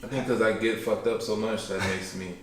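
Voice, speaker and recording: Podcast guest, young man, deep voice, easygoing and rambling, recorded in a boomy room. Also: fairly even intonation, brisk, noisy background. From Chicago, South Side. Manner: laid-back, rambling, joking, trails off often. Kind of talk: casual conversational interview. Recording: echoey room, mic at a distance.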